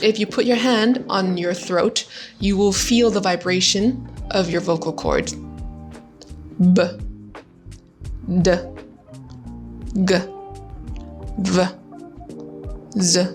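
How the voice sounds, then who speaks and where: Slow-talking woman teaching pronunciation. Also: lowish voice, fairly even intonation, faint music behind. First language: Vietnamese. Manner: slow, careful demonstration of sounds. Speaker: language teacher. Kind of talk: pronunciation lesson to camera.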